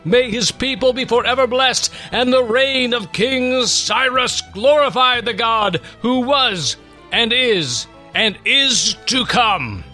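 A man's voice: extremely high pitch, lively intonation, slow, bright, forceful tone, soft background music, pitched above the performer's own voice, heavily acted. A man voicing an old Hebrew prophet, fervently exclaiming praise to God in a dramatic Bible story. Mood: exultant.

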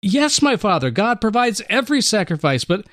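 Devoted young son, read by a man: earnest, pleading, raised pitch, dry sound. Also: sing-song, normal speed, fairly bright tone, no echo. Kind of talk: dramatic reading.

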